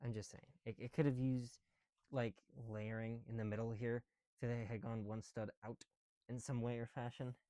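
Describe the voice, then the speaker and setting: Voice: mid-range voice, little pitch movement, normal speed, mellow, dry recording. A person talking casually to themselves in a rambling ASMR style while working with their hands, informal and prone to swearing. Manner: informal, muttering to self, sweary. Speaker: ASMR video creator.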